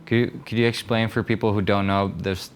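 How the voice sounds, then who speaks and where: A man's voice: fairly low pitch, little pitch movement, a little fast, slight background noise. A man from Finland interviewing a guest, asking friendly, prepared questions.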